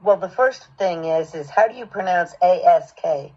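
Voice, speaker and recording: Husky woman coach, dull recording. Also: fairly low pitch, lively intonation, normal speed, a little mellow. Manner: encouraging, explanatory. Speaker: voice acting coach. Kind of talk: giving direction and feedback. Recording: dull, lo-fi sound.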